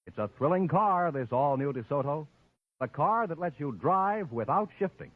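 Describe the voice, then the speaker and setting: A man's voice: a little high, expressive, moderate pace, dry recording. A man reading a scripted 1950s radio car commercial with a polished salesman's delivery. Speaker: commercial announcer. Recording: old radio, dry, tinny narrow band.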